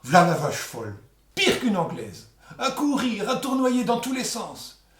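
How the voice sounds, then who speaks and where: A man's voice: sits high, huge pitch swings, measured pace, fairly bright tone. A man telling a folk tale aloud in a theatrical, colloquial oral storytelling style. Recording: echoey room.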